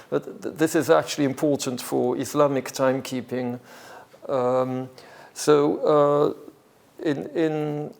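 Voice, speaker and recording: A male academic speaker with a strong gravelly creak and a middling pitch. He explains things off the cuff in a hesitant, halting way, thinking aloud as he lectures. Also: darkish tone. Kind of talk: academic talk with extempore explanation.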